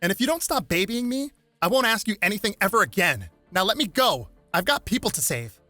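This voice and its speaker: A man's voice: sits high, big pitch swings, brisk, sharp tone, no echo, faint music behind, voice pushed up, exaggerated voice. A man voicing a bold, sassy, impatient young superheroine in a storybook reading, with snappy, flippant delivery. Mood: annoyed.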